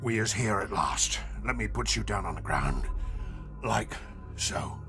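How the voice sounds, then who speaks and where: An actor playing a kindly, bumbling old giant in a children's audio drama, talking in quaint, muddled grammar. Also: male voice, on the low side, lively intonation, unhurried, music in the background. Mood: gentle, relieved.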